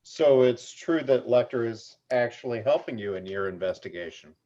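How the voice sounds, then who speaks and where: A man's voice: medium pitch, lively intonation, normal speed, dark tone, lightly acted. A man playing a slippery, smug tabloid reporter in a screenplay table read. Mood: prying. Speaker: amateur actor in an online table read. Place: remote video call. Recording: dull video call audio.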